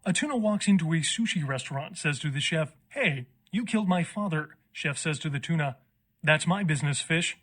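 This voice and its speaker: A man's voice: on the high side, expressive, a little fast, fairly bright tone. A man playing a quirky, dry, rambling joke-teller in a video game. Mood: droll.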